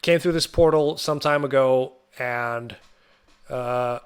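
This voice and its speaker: A man co-hosting a podcast, chatting casually about a film in a rambling, excitable way. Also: medium pitch, slow pace, clear, resonant tone. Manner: rambling, excitable, does sound effects and quoted voices. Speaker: podcast co-host.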